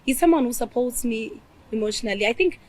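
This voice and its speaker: A woman's voice: on the high side, animated, quick pace, a little mellow, slight background noise. A woman in her twenties with a Zimbabwean accent, speaking emphatically as an interview guest and switching between English and Shona. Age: 20s. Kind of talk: casual interview.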